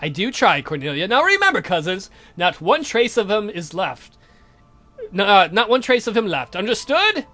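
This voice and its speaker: Man voicing a lively, cheeky, menacing count. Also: high-pitched, moderate pace, soft background music, exaggerated voice. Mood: brisk, courteous. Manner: polite, theatrical, condescending. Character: suave, cheeky, menacing count of an eccentric family.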